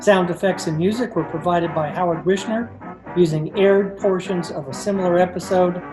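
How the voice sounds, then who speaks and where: A man's voice: high-pitched, moderate pace, poor audio. A man reading a scripted closing credits announcement as the show's announcer. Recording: crowd noise and music behind.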